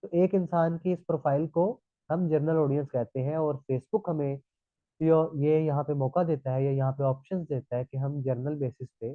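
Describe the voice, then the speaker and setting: A man's voice: on the high side, steady tone, normal speed, dry recording. A man teaches a live online class while nursing a sore throat. He walks through each point and keeps checking in with his students. His voice comes over a tinny, phone-like line that sounds dry and close. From Pakistan. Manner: explanatory, repeats "thik hai" checks.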